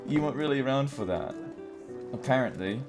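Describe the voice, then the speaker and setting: A man's voice: mid-range voice, expressive, fairly quick, music in the background, natural voice. An actor playing an easygoing young man in an audio drama, bantering in a laid-back, teasing way. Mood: teasing, relaxed.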